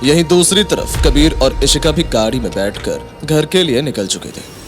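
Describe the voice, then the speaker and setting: A man narrates a dramatic audio story from a script. His voice sits at a middle pitch, with music clearly playing behind it. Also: expressive, normal speed.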